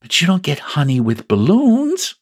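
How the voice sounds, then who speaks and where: A man acts out a little storybook boy, lifted high above the reader's natural pitch. He is polite, curious and eager to help, asking things simply in a very sing-song way. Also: huge pitch swings, normal speed, breathy edge, no room sound, clean studio sound, heavily acted. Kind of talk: children's storybook character voice.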